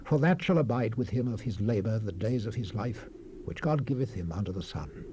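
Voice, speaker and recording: Guy playing a solemn weary old testament preacher, low mellow voice, archaic dramatic bible reading, bit of tape hiss. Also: animated, lightly acted. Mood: weary, reflective. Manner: grave, measured, archaic diction with dramatic swells and hushed falls. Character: solemn, world-weary male sage, the biblical Preacher. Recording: noisy 1970s cassette rip, faint hiss.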